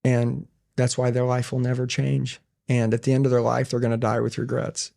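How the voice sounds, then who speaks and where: A man talks bluntly and emphatically in an interview, in short, firm statements. His voice is mid-pitched and gravelly, with a mellow tone. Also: completely flat delivery, moderate pace. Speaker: podcast guest and business owner. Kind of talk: blunt motivational talk in conversation.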